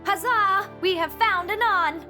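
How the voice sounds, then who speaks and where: A woman's voice: very high-pitched, a little slow, bright, forceful tone, soft background music, pitched above the performer's own voice, full character voice. A woman voicing an earnest, overeager royal pony princess from a cartoon fan story, with formal, archaic, grandiose speech. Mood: triumphant. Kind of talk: cartoon fan fiction character reading.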